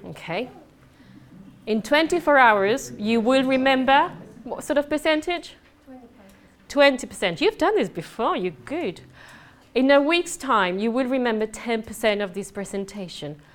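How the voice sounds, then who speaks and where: Spanish woman talking fast at a conference, jokey, chatty with the crowd. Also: fairly high pitch, expressive. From southern Spain. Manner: fast, chatty and joking with the audience. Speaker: networking speaker. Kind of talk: lively conference presentation. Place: conference room. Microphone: mic at a talk.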